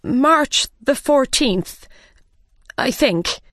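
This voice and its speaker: A nervous little tradesman from a storybook, voiced by a woman reader. He sounds defensive and anxious, protesting in a raised, unhurried voice. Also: lively intonation, fairly bright tone, dry recording, clean studio sound, exaggerated voice. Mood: bewildered. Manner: anxious, protesting, high-pitched. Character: nervous, defensive Mad Hatter, adult man. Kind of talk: storybook character reading.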